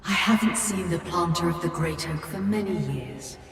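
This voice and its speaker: A low, echo-drenched, disillusioned woman. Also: moderate pace, gravelly. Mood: somber, doubting. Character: doubtful, disillusioned villager speaking of fallen hero. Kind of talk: dramatic video game dialogue. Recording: heavily reverberant, distant flashback echo.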